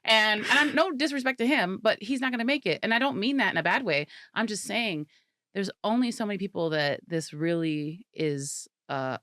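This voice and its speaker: A woman's voice: mid-range voice, moderate pace, a bit bright, no echo, clean studio sound. A woman comedian from the California Bay Area, chatting animatedly in an interview and laughing often. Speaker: comedian guest. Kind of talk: casual interview chat.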